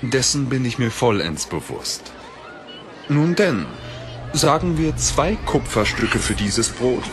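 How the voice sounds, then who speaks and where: A man playing a suave, quietly manipulative young magician in a fantasy audio drama, speaking formally and politely. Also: mid-range voice, very animated, takes it slowly, over music. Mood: suave, controlled.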